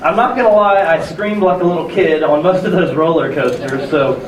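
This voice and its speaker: A hoarse young man preaching a sermon in a conversational, self-deprecating way, with many restarts. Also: a little high, normal speed, a crowd in the background. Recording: echoey room from far off with faint ambience.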